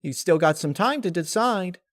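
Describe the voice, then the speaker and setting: A man's voice: sits high, animated, moderate pace, no echo, clean studio sound, pitched above the performer's own voice. A man voicing a bubbly, carefree young female pony spirit in a story reading, with perky, gushing delivery. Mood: breezy, reassuring. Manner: perky, gushing, childlike phrasing.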